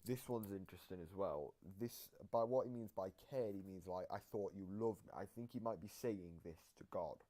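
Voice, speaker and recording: A man giving casual, analytical reaction commentary, explaining things in a halting, rambling way that circles back on repeated phrases. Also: low-pitched, dark tone, slight background noise. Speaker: reactor explaining game voice lines.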